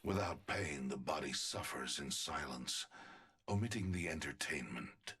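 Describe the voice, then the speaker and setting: A man voicing a cold, sadistic scientist villain in a video game, deliberate and condescending. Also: animated, sharp tone, heavily acted. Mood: menacing, superior. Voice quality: very deep, raspy. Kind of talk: video game character voice lines.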